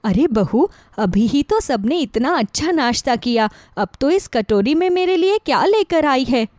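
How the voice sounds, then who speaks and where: A woman's voice: high-pitched, very animated, unhurried, darkish tone, dry recording, studio quality. A woman playing an affectionate older mother-in-law who frets about her household in a moral story. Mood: fond. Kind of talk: acted dialogue in a moral story.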